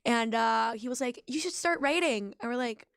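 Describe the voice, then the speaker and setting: A young woman from Mexico, a Spanish speaker, telling band stories in animated English and acting out her own past thoughts. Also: a little high, normal speed, gravelly, clear, resonant tone, dry recording, studio quality. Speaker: band drummer.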